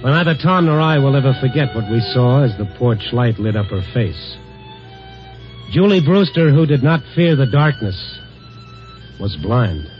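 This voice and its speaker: An actor playing a world-weary newspaper reporter who narrates with dry wisecracks in a 1950s radio drama, on a dull old broadcast recording. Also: male voice, fairly high pitch, huge pitch swings, moderate pace, over music. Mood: wry. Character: wry night-shift male reporter, world-weary.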